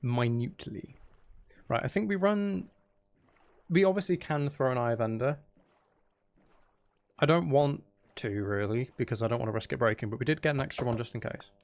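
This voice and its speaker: A man with a mid-pitched voice and noticeable vocal fry chats casually as he plays a game on stream. He rambles and teases playfully, with a slightly tired edge to his delivery. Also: lively intonation, moderate pace, long pauses. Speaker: livestream host. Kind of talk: casual gaming livestream chat.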